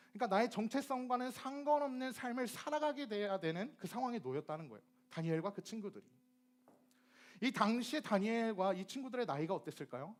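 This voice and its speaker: A young man from Gyeonggi preaching a sermon to teenagers, asking them questions and acting out dialect phrases. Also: very high-pitched. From Gyeonggi, South Korea. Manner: lively, asks the audience questions and acts out dialect phrases. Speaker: youth ministry preacher.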